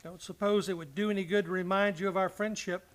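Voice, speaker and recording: An actor playing a loyal, hot-headed, folksy cowboy in a Western radio drama, speaking emphatically. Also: male voice, sits high, steady tone, fast talker, natural voice. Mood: bitter pleading.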